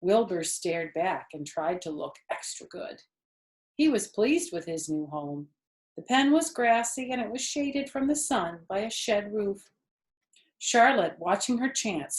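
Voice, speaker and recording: An older woman reads a children's book in a room with noticeable echo. Her voice sits at a middle pitch. She is expressive and grandmotherly, pausing to explain things to young listeners. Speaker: storyteller reading to children. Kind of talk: expressive read-aloud of a children's book with chatty asides. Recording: echoey room sound.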